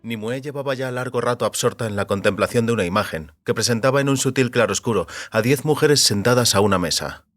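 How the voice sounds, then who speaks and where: A male audiobook narrator with a voice of medium pitch. He reads scripted storytelling passages. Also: fast, few pauses, fairly bright tone, soft background music.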